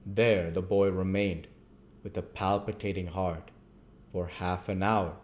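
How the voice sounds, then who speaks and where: A man's voice: low voice, steady tone, unhurried, darkish tone. A man reading a classic novel aloud as scripted storybook narration. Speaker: audiobook reader.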